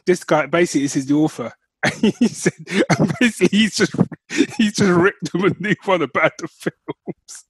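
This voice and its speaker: A man's voice: sits high, moderate pace, breathy edge, no room sound. A man co-hosting a podcast, chatting casually and informally, repeating himself for emphasis. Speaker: podcast co-host. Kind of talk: casual chat about film and book news.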